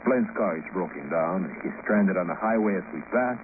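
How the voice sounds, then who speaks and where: An actor playing a fat, jovially bitter South American ex-tennis champion turned chauffeur in a 1950s radio drama, with a Latin accent and halting English punctuated by chuckles. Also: male voice, low voice, lively intonation. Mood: sly, insistent. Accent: foreign, Latin. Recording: muffled, noisy 1950s radio recording.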